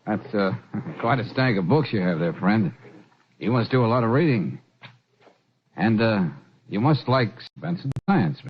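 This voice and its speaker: An actor playing a breezy, wry, tough investigator in old-time radio detective style, casual and clipped. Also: male voice, lowish voice, animated, unhurried, long pauses, breathy edge, exaggerated voice. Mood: casual, probing. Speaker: radio drama lead actor. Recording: dull old radio recording, music behind.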